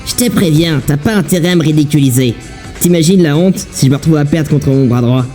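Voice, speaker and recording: A man's voice: fairly high pitch, fairly quick, dark tone, soft background music, natural voice. A man voicing a proud, bossy bird gang leader in an amateur cartoon fandub, haughty and warning. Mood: stern, worried.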